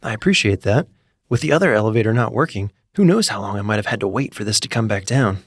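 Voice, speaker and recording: A man voicing a kind, fidgety young man, polite and hurried, in a comic story. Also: on the low side, animated, dry recording, clean studio sound.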